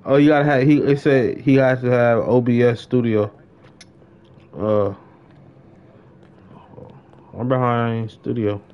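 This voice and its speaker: A man's voice: medium pitch, fairly even intonation, slow, pauses often, dull audio, a little hiss or hum. A young adult man chatting casually on a gaming livestream in slangy, informal speech with plenty of swearing. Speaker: game livestreamer.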